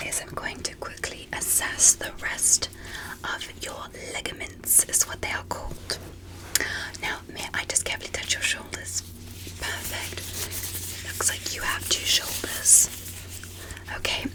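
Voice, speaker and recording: Casting: a woman performing a kindly, inquisitive alien physician for ASMR roleplay; whispered, breathy, careful and encouraging, with formal clinical wording. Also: natural voice, low-quality recording. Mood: gentle, encouraging. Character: gentle, curious alien doctor examining a human.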